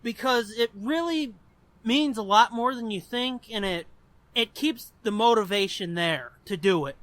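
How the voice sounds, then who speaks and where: High-voiced bright podcast host. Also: male voice, lively intonation, measured pace. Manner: profane, rambling and earnest. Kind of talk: casual podcast chat.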